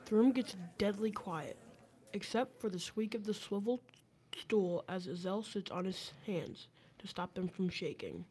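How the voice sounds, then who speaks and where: A woman's voice: mid-range voice, normal speed, darkish tone, breathy edge. A woman reading scripted narration for an amateur radio drama.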